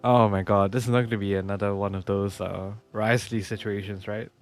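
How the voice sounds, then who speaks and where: A man's voice: lowish voice, little pitch movement, brisk, faint music behind. A man giving casual, muttered gameplay commentary as a streamer, with sighs and swearing. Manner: muttering, sweary, sighing reactions. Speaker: game streamer.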